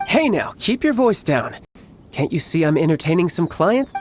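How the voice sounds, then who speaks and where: A man's voice: fairly high pitch, very animated, moderate pace, a little hiss or hum. An actor playing a young male villain in a video game, posing as a genial salesman, with a polite, playfully evasive manner. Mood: breezy, feigned politeness. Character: young male villainous harbinger posing as genial toy seller, doting brother. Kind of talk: video game character acting.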